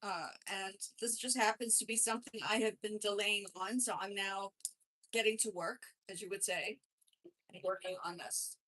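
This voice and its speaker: A woman's voice: medium pitch, steady tone, moderate pace, pushed and bright, slight background noise, low-quality recording. Chatty woman in her forties. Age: 40s. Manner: chatty, rambling, self-correcting. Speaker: channel host. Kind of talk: casual chat to camera. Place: home studio with music playing. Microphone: swapped desk mic, then lavalier.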